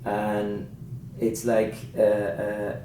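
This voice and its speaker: A man with a low, dark voice and non-native English discusses literature in a halting, informal way. He sounds a little away from the mic in an echoey room. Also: monotone. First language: not English. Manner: halting, searching for words. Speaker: author discussing a book. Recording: echoey room, distant mic, faint noise.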